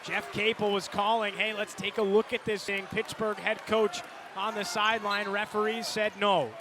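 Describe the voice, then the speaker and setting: An American man doing live radio play-by-play of a basketball game in clipped, energetic phrases. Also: high voice, moderate pace. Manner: clipped phrases, builds to excited calls on big plays. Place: courtside in a large indoor arena. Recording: live arena broadcast, loud court noise behind. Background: loud arena noise, bouncing basketball.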